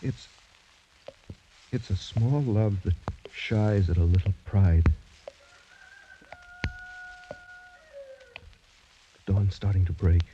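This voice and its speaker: A man's voice: sits low, a little slow, mellow. An actor playing a gallant, doomed young man in love, sincere and restrained, in a 1940s radio drama with muffled, noisy sound. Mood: resigned.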